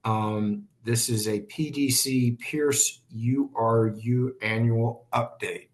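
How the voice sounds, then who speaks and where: An American man chairing a formal hearing, speaking in a measured, courteous, procedural way. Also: medium pitch, steady tone. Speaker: commission chair. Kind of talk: formal procedural meeting talk.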